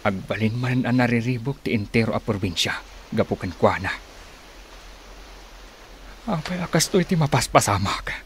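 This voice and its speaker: A man's voice: mid-range voice, normal speed, pauses often, a little hiss or hum, close to an everyday voice. An actor playing a troubled, resolute man, speaking an earnest, brooding monologue in a radio drama.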